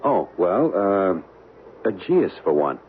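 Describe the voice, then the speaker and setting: An actor playing a wary, easygoing young American husband in a radio drama, speaking in slangy, hesitant phrases. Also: male voice, low voice, very animated, takes it slowly, full character voice. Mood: casual banter. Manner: slangy, hesitant, repeating words. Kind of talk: old-time radio drama acting. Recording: tinny, band-limited vintage radio, hissy.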